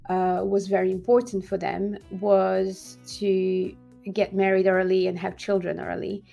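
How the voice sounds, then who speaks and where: Woman host from Serbia, even and level voice, a gravelly edge, halting talk full of ums, faint guitar music underneath. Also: lowish voice, highs cut off. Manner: hesitant, many fillers and restarts. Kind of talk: casual talking-head commentary.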